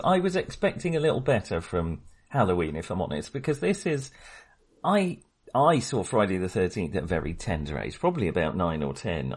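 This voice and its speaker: A man's voice: on the low side, breathy edge. A man co-hosting a film podcast in casual discussion, talking hesitantly, often interrupting himself and rambling.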